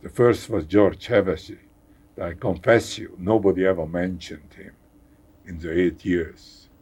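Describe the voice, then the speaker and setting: Older man, Hungarian accent, deep low voice, husky tone, halting speech with repeated words, interview guest. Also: steady tone, a little mellow. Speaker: guest scientist. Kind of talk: conversational interview.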